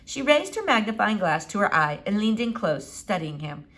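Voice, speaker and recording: A woman narrating a children's book aloud in an expressive storytelling style. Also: mid-range voice, normal speed. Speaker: audiobook reader.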